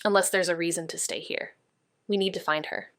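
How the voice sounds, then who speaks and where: A woman's voice: lowish voice, steady tone, a little fast, fairly bright tone, no room sound, lightly acted. An actress playing a practical, guarded female amateur sleuth in an audio drama, terse, wry and casual. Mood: focused.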